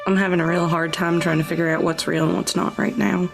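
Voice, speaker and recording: A woman with a lowish voice improvises casually as a player in a tabletop game, slipping between character and table talk. Music is clearly heard behind her. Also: little pitch movement, quick pace. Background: background music.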